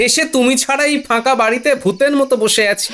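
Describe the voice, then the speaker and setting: A man's voice: extremely high pitch, quick pace, pushed and bright, faint music behind. A man voicing a clever, cheeky male court jester in a folktale cartoon, witty and teasing. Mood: grumbling.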